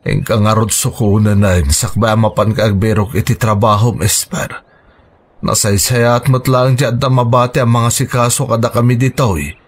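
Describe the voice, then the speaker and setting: A man's voice: on the low side, fairly even intonation, normal speed, lightly acted. A man playing a weakened, worried husband in a radio drama, speaking with an Ilocano accent. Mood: worried, weary. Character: Roman, weakened husband recovering from stroke. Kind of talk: Filipino radio drama acting. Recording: music bed under dialogue. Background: music.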